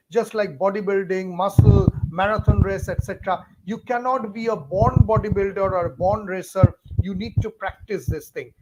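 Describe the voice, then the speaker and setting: A man's voice: sits high, noisy background. A man with an Indian English accent teaching a live online class, stressing points over and over and talking straight to viewers by name. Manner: emphatic, repetitive, talks straight to viewers by name. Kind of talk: live-streamed lecture.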